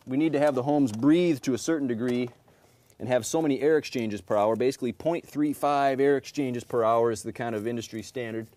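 A man's voice: mid-range voice, brisk. 30s guy doing a house energy check, easygoing explainer, lots of fillers, in a basement crawl space. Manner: relaxed explanatory, many fillers. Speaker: energy auditor. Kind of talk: explaining on-site home inspection.

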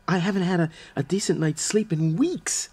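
Husky, nervous young man. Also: high-pitched, moderate pace, darkish tone. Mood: indignant, pleading. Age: 30s. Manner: hesitant, stammering, deferential. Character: nervous, eager-to-please thirty-year-old male voice artist. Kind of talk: naturalistic radio drama acting.

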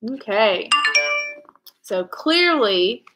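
A woman's voice: on the high side, big pitch swings, very slow, music in the background, low-quality recording. A young woman reading a children's novel aloud in a storytelling style, indoors at home. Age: young adult.

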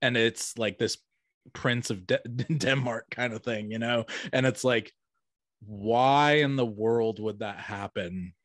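A man's voice: medium pitch, steady tone, takes it slowly, a bit bright, no echo. Middle-aged man chatting, close dry mic. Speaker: podcast host and storyteller. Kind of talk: casual conversational interview. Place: small home room, video call. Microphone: close studio mic on boom arm.

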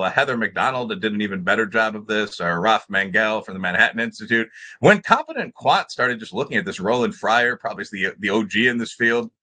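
Middle-aged man, sharp forceful tone, lively intonation, academic panelist, discursive and emphatic, unscripted, video call. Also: on the low side. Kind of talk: extemporaneous panel discussion argument. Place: indoor room with bookshelves, on a video call.

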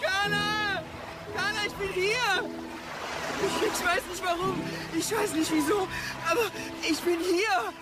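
A woman's voice: very high voice, fairly even intonation, measured pace. A woman playing an overjoyed, bewildered youngster in an audio drama, eager and stammering. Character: breathless, bewildered, overjoyed youngster called Fred. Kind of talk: German audio drama acting. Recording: loud music behind the voice.

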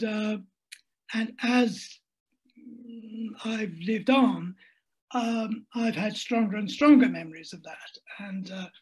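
A man's voice: high voice, a little mellow, breathy edge, rough recording. An older man speaking in an interview over a video call from home, thoughtful and hesitant, with frequent restarts. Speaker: interviewee, writer. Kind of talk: reflective conversational interview. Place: home room at a desk. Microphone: laptop webcam on a video call.

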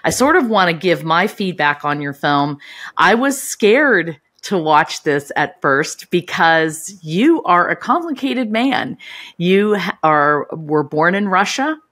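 A middle-aged woman with a low, deep voice hosts a casual interview over a webcam. She talks earnestly and with open curiosity, warmly leaning into her questions. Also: very animated, slow. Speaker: podcast co-host. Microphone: video call, webcam.

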